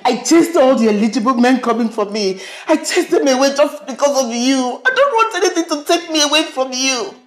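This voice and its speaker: A woman's voice: sits high, lively intonation, fairly quick, hardly any pauses. A woman playing a possessive, emotional mother in a melodrama, pleading insistently with her grown son. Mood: anguished, accusing. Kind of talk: melodramatic screen acting.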